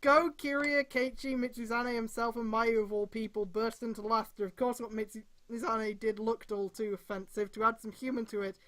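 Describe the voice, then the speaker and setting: A woman's voice: a little high, flat delivery, moderate pace, hardly any pauses. A woman casually reading a story aloud on a stream, breaking off for irreverent, sweary commentary.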